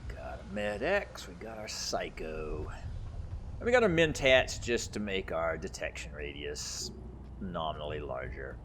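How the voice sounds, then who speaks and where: A man's voice: low-pitched, sing-song, unhurried, noisy background. A man giving casual gameplay commentary as host of a gaming video, musing aloud as he plays. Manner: muses aloud while playing.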